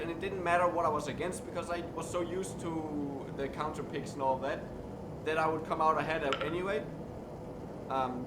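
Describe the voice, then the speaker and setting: A young man with a Danish accent chats loosely and candidly, rambling a bit and swearing freely. His voice sits a little high. He sounds like he is in a roomy, echoing workshop with a steady air-conditioning hum behind him. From Denmark. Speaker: streamer and metalworker. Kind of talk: casual chat while working on stream. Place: indoor workshop.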